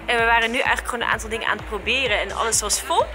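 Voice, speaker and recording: A woman's voice: fairly high pitch, racing, over music, rough recording. A woman, chatting casually to a handheld camera in an upbeat, enthusiastic way. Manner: upbeat and enthusiastic, gushing superlatives. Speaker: travel and lifestyle vlogger. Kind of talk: casual vlog chat to camera. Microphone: camera held at arm's length.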